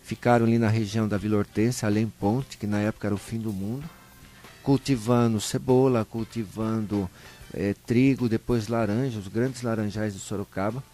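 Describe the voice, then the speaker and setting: A man with a São Paulo countryside Brazilian accent, telling stories in a colloquial, animated way as a guest in a live interview. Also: lowish voice, normal speed, faint music behind. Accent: Brazilian Portuguese, São Paulo interior. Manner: animated storyteller, acts out short dialogues, colloquial. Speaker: interview guest, local historian.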